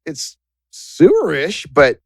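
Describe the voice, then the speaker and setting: A man narrates a tabletop game as its game master. His voice sits a little high and swings widely in pitch. He talks in a halting, conversational, joking manner. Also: huge pitch swings, creaky, no room sound, studio quality.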